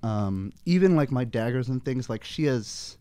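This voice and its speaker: A man who plays a tabletop game, casually describing his actions as he thinks aloud in a halting way. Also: mid-range voice, expressive, mellow, no echo.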